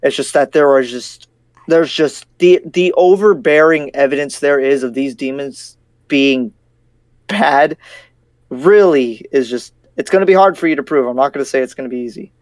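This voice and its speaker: A man's voice: medium pitch, normal speed, darkish tone, dry recording, natural voice. A man playing a blunt, skeptical, battle-worn demon slayer in an improvised anime game roleplay, speaking frankly and emphatically with repeated, restarted phrases.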